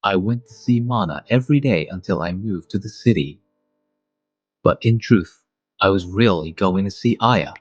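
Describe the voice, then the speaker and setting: A man playing a mild, wistful adult guardian, narrating a manga dub in a measured, reflective way. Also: deep voice, lively intonation, husky, no room sound, muffled recording. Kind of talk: scripted first-person manga dub narration.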